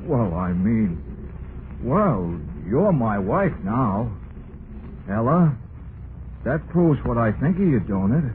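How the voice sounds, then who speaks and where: An actor playing an eager, naive young newlywed husband and devoted son in a vintage radio drama, with folksy, boyish talk. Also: male voice, medium pitch, sing-song, takes it slowly, pauses often, narrow, tinny audio. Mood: cheerful, reassuring. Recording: noisy, band-limited vintage radio recording.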